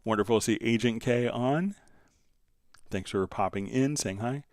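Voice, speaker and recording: A man's voice: medium pitch, normal speed, darkish tone, dry recording, clean studio sound. A man hosting a casual live tutorial, answering viewers and explaining things step by step in a conversational way. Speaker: livestream host, software instructor.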